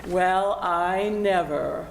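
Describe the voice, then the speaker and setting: A woman's voice: on the low side, very slow, vocal fry, a little hiss or hum, exaggerated voice. An older woman playing a haughty, domineering matron in 1940s radio comedy style. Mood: scolding. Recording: echoey room.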